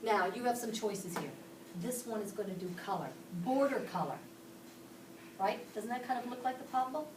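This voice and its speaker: A woman's voice: fairly high pitch, animated, normal speed, background noise. A woman from Pittsburgh teaching a class, explaining each step and asking the children questions. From Pittsburgh, Pennsylvania. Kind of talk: classroom instruction. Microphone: camera across the room. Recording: echoey classroom from far off.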